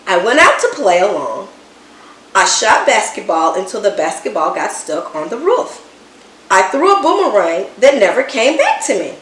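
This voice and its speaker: A woman reading a children's story aloud in an expressive, dramatic way, with emphatic words and hushed asides. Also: mid-range voice, moderate pace. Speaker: storybook reader. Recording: roomy echo, distant mic, background noise.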